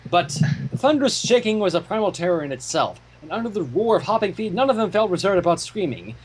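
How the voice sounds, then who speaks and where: A man giving an expressive, storybook-style narration as he reads fan fiction aloud. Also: sits high, fast talker, breathy edge, low-quality recording.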